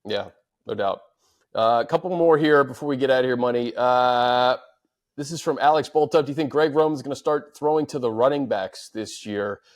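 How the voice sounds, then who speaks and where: A man's voice: mid-range voice, moderate pace. An American man hosting a casual sports chat, fielding fan questions and adding his own take. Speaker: sports show host reading fan questions.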